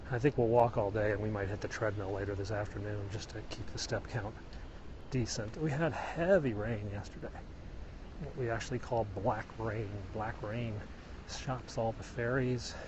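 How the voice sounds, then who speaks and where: A man's voice: on the low side, background noise. A man from Illinois with a Midwestern accent, casually chatting to viewers while he walks outdoors on a mountain road. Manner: chatty, explanatory, answering viewers. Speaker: vlogger live-streaming a hike. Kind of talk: casual walking livestream chat.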